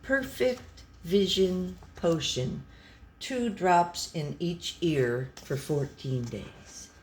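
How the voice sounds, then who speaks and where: Slow, deadpan storybook pet read by a woman. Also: fairly low pitch, slight background noise. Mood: dry, tolerant. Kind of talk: scripted storybook narration.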